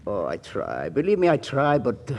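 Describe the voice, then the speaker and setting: An actor playing an excitable, mooching Latin friend with a Spanish accent and halting, mangled English in a 1940s radio comedy. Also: male voice, medium pitch, creaky, full character voice. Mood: wistful, prying. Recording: noisy vintage 1940s radio broadcast.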